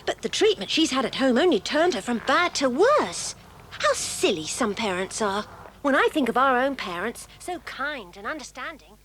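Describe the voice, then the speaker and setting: A woman playing a warm-hearted, bossy schoolgirl with an English accent in a children's audio drama. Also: high voice, big pitch swings, moderate pace, a little hiss or hum, poor audio. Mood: excited, earnest. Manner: earnest, plucky, jolly schoolgirl talk. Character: warm-hearted, bossy, breezy boarding-school girl, a twin.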